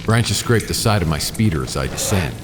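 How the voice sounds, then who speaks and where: Very deep wry private eye. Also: male voice, expressive, brisk, big-room sound, noisy background. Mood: tense, focused. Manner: wry, laconic storytelling. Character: wry hard-boiled male private investigator narrating his case. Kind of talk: first-person noir radio drama narration.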